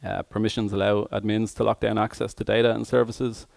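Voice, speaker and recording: A man giving a tech conference presentation as an engineer, speaking hesitantly with frequent pauses mid-phrase. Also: lowish voice, little pitch movement, creaky, a little mellow, dry recording.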